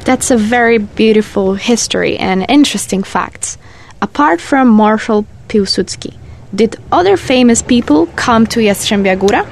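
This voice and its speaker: Woman acting curious, polite tourist, slightly high voice. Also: lively intonation, moderate pace, vocal fry, a little hiss or hum. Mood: curious, admiring. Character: curious, appreciative female tourist. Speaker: tourist asking questions. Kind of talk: scripted audio guide dialogue.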